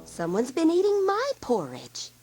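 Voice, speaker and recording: A woman's voice: high voice, wildly swooping pitch, a little slow, a little mellow, pitched above the performer's own voice, full character voice. A woman voicing a dainty mama bear in a children's storybook. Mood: surprised. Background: music.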